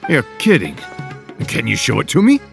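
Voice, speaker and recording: An actor playing a friendly, apologetic theater troupe leader in a video game cutscene, earnest and easily excited. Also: male voice, medium pitch, moderate pace, creaky, faint music behind. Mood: eager.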